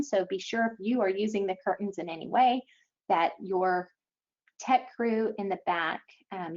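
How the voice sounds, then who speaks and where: A woman giving an explanatory webinar briefing as an event organizer, speaking haltingly with frequent pauses. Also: medium pitch, a little mellow. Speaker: event organizer presenting to schools. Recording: dull, lo-fi online webinar audio.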